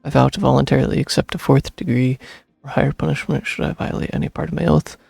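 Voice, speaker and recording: A man's voice: mid-range voice, steady tone, fast, vocal fry, darkish tone, husky, no echo. A man streaming a video game, giving casual commentary and reading game text on a close microphone. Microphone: close streaming microphone, dry. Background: game music.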